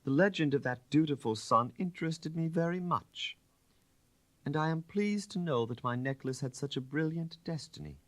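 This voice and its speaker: A man's voice: fairly high pitch, lively intonation, normal speed, voice pushed up, close to an everyday voice. A man voicing a composed aristocratic countess in an audiobook, gracious and polite but pointed. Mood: poised, pointed. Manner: polite, poised.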